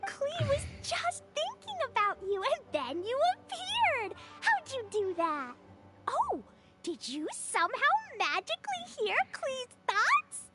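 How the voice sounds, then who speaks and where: Game role: a squeaky, bouncy little girl, bomb-happy and chirpy, in a lively sing-song. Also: takes it slowly, over loud music, heavily acted. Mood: excited. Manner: excited, chirpy, refers to herself by name. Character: bubbly little girl who loves explosives.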